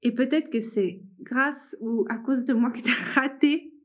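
A young woman hosts a casual interview and asks her questions in a friendly, informal tone. Her voice sits high, and she now and then pauses with fillers. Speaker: podcast host, interviewer.

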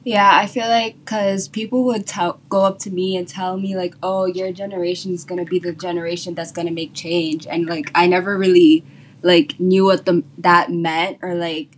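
A woman's voice: lowish voice, flat delivery, normal speed, low-quality recording. Chatty young New woman, faint hiss. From the Bronx, New York City. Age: young adult. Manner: chatty, many likes. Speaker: panel guest. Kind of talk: casual discussion.

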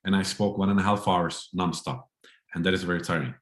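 A chatty, friendly man who trains people in public speaking, talking on a video call. His voice is very low, and the call audio sounds roomy. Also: fairly quick. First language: Turkish. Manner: friendly, chatty, laughs easily. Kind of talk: online training session.

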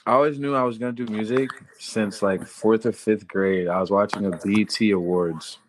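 A young man from Florida, born in Haiti, a rapper and songwriter talking casually as a podcast guest in a relaxed, slangy way. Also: on the low side, normal speed, slight background noise, rough recording.

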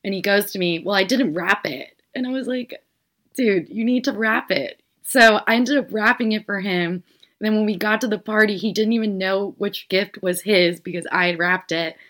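A woman's voice: mid-range voice, moderate pace, fairly bright tone. A woman in her twenties co-hosting a casual podcast, rambling through a work story with frequent giggles. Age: 20s. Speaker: podcast co-host.

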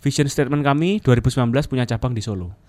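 A man's voice: medium pitch, very fast, no room sound. Man explaining marketing on radio. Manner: lively explaining, sprinkles English terms, frequent "ya" check-ins. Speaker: marketing expert guest. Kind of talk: radio talk show chat. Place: radio studio. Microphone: close studio mic.

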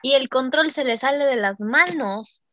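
A woman's voice: high-pitched, normal speed, pushed and bright, slight background noise. A young woman with a Mexican accent co-hosting a podcast in casual, slang-filled conversation. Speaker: podcast co-host. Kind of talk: casual chat recapping a TV series. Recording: slightly dull, dry lo-fi sound.

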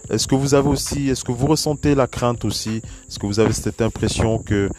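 A man's voice: mid-range voice, a little fast. A man hosting a solo basketball news podcast, talking casually with many fillers and restarts. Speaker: podcast host. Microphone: voice message recording.

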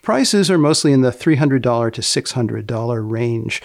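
Male podcast host, wry and deadpan, precise scripted delivery, some fry in the voice, very dry close sound. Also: medium pitch, moderate pace, no echo. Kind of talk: scripted humorous narration with questions to a co-presenter.

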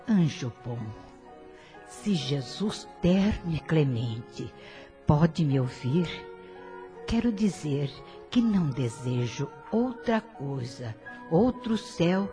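Gentle mother spirit, slow and humble, music under. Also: low voice. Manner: humble, devout, soft. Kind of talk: radio drama acting.

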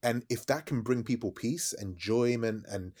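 A man, a DJ and radio host talking quickly and eagerly as a podcast guest. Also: medium pitch, breathy edge. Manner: fast-talking, animated storytelling. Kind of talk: casual interview chat.

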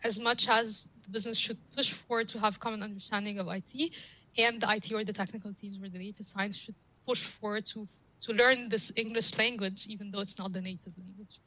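A woman's voice: mid-range voice, steady tone, a little fast, sharp tone, rough recording. A woman whose first language is Arabic, explaining her work earnestly as an interview guest, often through analogies. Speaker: guest, data science professional.

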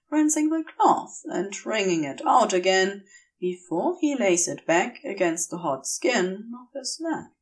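A woman reading a story aloud as scripted narration, careful and measured, with expressive delivery. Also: medium pitch, recorded from a distance. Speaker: fan fiction audiobook reader.

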